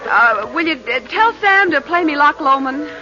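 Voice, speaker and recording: An actress playing a nervous woman posing as a nightclub singer, speaking haltingly in 1940s radio drama style. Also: high-pitched, expressive. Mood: hesitant. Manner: hesitant, halting. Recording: muffled old radio broadcast, loud music.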